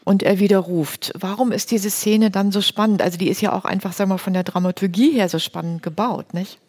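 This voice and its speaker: A woman with a medium-pitched voice hosts a conversation. She retells a story in everyday, colloquial words and leads the talk along with questions. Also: fairly quick, a little mellow. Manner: colloquial, plain-spoken. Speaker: host.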